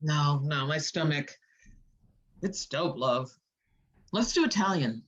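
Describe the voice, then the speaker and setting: A woman's voice: deep voice, lively intonation, slow, muffled recording, full character voice. A woman playing a witty, teasing poet visiting an old lover in a script read, with an American accent and slangy, playful delivery. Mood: playful, easygoing. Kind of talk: informal screenplay table read.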